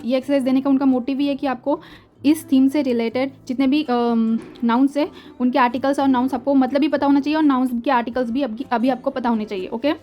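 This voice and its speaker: A young woman teaches German from a textbook, patient and repetitive, mixing Hindi with English. Her voice sits a little high. Soft music plays faintly behind her. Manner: patient, repeats words slowly, mixes Hindi and English. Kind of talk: explaining textbook exercises.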